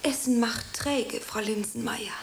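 A woman's voice: on the high side, measured pace, vocal fry, a little hiss or hum. A woman playing a dreamy, self-dramatizing young aspiring actress in a radio play, theatrical and whimsical in her delivery. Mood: earnest, evasive. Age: young adult.

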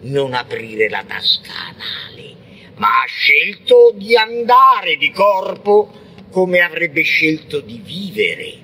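A frenzied visionary poet in a theatrical radio monologue. The delivery is very slow and forceful, lurching from hushed whispers to shouted declamation, blasphemous and intense. There is faint noise behind the voice. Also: male voice, a little high, big pitch swings, heavily acted. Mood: grim, declaiming. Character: frenzied, blasphemous visionary poet ranting against God and man. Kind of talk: intense theatrical monologue, avant-garde poetic radio drama.

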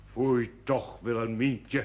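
An actor playing a mild, patient man shopping, gently moralising in a television period drama. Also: mid-range voice, level tone, takes it slowly, close to an everyday voice. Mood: gently chiding. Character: mild, gently moralising male shop customer.

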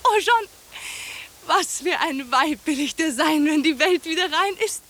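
High-voiced, fanatical young temptress. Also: female voice, animated, measured pace, a bit bright. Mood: rapturous, fanatical. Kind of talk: mid-century German radio drama acting.

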